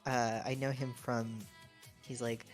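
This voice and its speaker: A young woman chatting casually on a game stream, rambling and halting, with giggles. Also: a bass voice, little pitch movement, faint music behind. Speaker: stream co-player.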